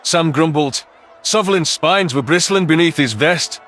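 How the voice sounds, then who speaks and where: A man's voice: fairly high pitch, unhurried, fairly bright tone. A man reading dramatic first-person science fiction narration as an audiobook narrator.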